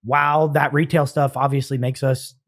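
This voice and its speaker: A man with a slightly raspy voice chatting casually on a podcast, laid-back and slangy, swearing freely. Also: mid-range voice, flat delivery, moderate pace, a bit bright. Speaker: podcast guest, jiu-jitsu gym owner and coach.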